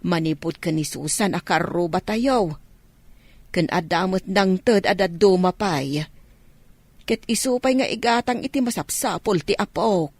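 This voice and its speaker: Casting: woman playing old village grandma for radio drama, gentle and thankful, husky, lowish voice. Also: expressive, measured pace, no room sound. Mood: affectionate, reassuring. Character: grateful elderly village grandmother, gentle and reassuring. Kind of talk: Ilocano radio drama acting.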